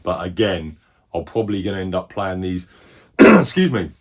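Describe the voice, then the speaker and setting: A middle-aged man casually showing off his game collection, rambling and correcting himself as he goes, a little hoarse. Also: deep voice, big pitch swings, normal speed. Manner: rambling, chatty, self-correcting. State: losing his voice. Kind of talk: casual collection show-and-tell.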